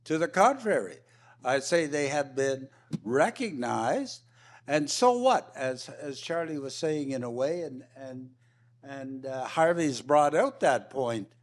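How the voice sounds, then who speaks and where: A man's voice: mid-range voice, lively intonation, slow pace. A man making impromptu panel remarks, repeating and restarting his phrases often as he argues his points emphatically. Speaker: roundtable panelist.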